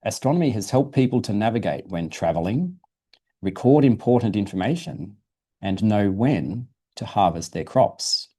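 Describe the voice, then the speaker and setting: A man with a fairly low, very mellow voice reads a science storybook to children. He explains things simply, in the manner of a museum presenter at storytime. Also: expressive, moderate pace, slightly rough. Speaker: guest presenter from a dinosaur museum. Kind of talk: reading a children's science book aloud.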